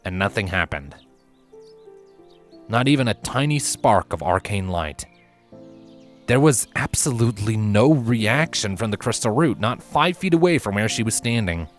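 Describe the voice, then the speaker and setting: Guy reading a fantasy story, kinda deep, expressive n dramatic, bit of music behind him. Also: moderate pace. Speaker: story narrator. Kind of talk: scripted fantasy storybook narration.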